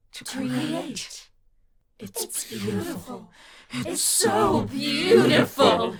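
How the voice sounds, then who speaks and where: A woman playing a huge, rapturous and menacing plant-fungus hybrid in a sci-fi audio drama, halting and breathy with labored wheezes. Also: high voice, heavily acted. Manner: slow, halting, reverent delivery. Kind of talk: science-fiction audio drama acting.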